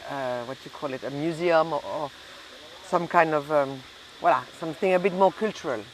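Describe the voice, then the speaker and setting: A woman's voice: deep voice, brisk, a little hiss or hum, poor audio. A middle-aged woman, a native French speaker from Belgium and southern France with a French accent, chatting casually in an interview and often searching for words. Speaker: interview guest, hotel and restaurant owner.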